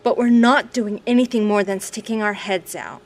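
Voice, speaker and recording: A woman's voice: mid-range voice, moderate pace, lightly acted. An actress playing a cautious, skeptical survivor in an audio drama, wary and blunt in her delivery. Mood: hushed, reluctant.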